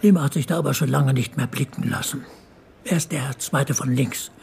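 A man's voice: mid-range voice, moderate pace, gravelly, darkish tone, husky. An actor playing a middle-aged, well-off, controlling father in a radio crime drama, formal and disapproving in manner. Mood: formal, insistent. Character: well-off, controlling bourgeois father hiring a detective.

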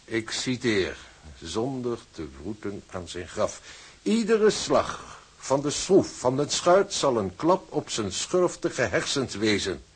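An actor in a radio drama playing a cold, condescending shipping official who speaks in a formal, officious, mock-polite way. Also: male voice, medium pitch, very animated, slow pace, slightly rough, full character voice. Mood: icy politeness, then reading with disdain. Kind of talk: Dutch radio drama acting.

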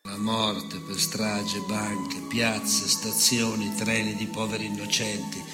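An actor playing an unrepentant, bitter fascist nostalgic in a declamatory, sardonic theatre monologue. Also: male voice, fairly low pitch, level tone, takes it slowly. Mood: grim, brooding. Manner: declamatory, sardonic, dramatic swings between murmur and outburst. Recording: reverberant theatre hall with music.

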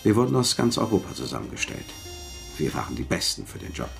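A washed-up spy in a German radio drama, with a very low, gravelly voice. He is bitter and set on revenge, telling his story with weary gravity and a widely moving melody. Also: sing-song, quick pace, soft background music, heavily acted. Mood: grave, recounting.

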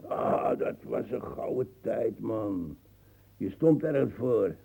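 A brutal old colonial veteran in a radio play. His voice is low, husky and very mellow in tone. He rambles coarsely and boastfully, groping for words. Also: lively intonation, slow pace, full character voice. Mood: boastful nostalgia. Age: older. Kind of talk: radio drama acting, monologue reminiscence.

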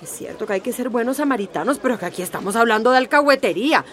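A woman's voice: fairly high pitch, lively intonation, very fast, fairly bright tone. A woman playing a scornful, argumentative townswoman in a radio drama, haughty and exclamatory. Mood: scornful, agitated. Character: scornful, indignant townswoman campaigning against migrants. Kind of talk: Spanish-language radio drama acting.

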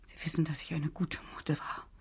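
A woman's voice: low voice, little pitch movement, heavily acted. An actress playing a dying, guilty mother in a radio drama, whispering in weak, faltering gasps. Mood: dying, bitter. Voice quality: breathy, whispering. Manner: slow, faltering. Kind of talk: German radio drama acting.

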